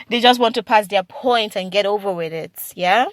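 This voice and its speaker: A woman hosting a solo advice podcast, talking quickly with a slight stutter and frequent repeated words and restarts. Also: medium pitch, noisy background. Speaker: podcast host.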